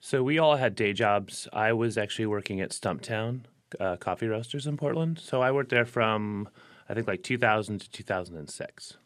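A man's voice: lowish voice, normal speed. A man, a working musician, answering interview questions casually, rambling with lots of verbal fillers. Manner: relaxed and rambling, full of "like" and "you know" fillers. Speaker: career musician, interview guest.

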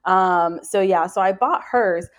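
A woman's voice: fairly low pitch, a little slow. Chatty woman with no regional accent. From the US South. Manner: chatty, trailing fillers. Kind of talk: casual podcast chat.

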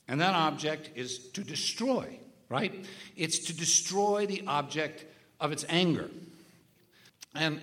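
A man with a loud, carrying voice talking conversationally as a guest commentator before a live audience. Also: fairly high pitch. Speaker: radio show guest and political commentator. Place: large room with a live audience. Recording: reverberant live stage hall.

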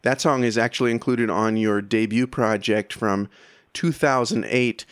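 A man's voice: mid-range voice, normal speed, dry recording, clean studio sound. A man hosting an interview show, putting questions to a guest in a conversational style.

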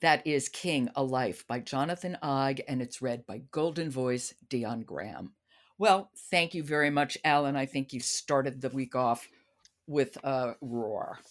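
A woman's voice: extremely low pitch, measured pace. A woman hosting a podcast in a conversational book discussion. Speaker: podcast host.

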